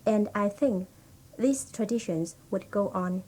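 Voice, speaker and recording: Mellow woman with a Hong Kong accent. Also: mid-range voice, measured pace, husky, rough recording.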